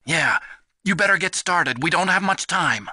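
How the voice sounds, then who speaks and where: An actor playing an eager young technical adviser in a video game, explaining things earnestly. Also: male voice, a little high, animated, moderate pace, bright, forceful tone, heavily acted. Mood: earnest, urgent. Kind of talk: expository video game voice acting.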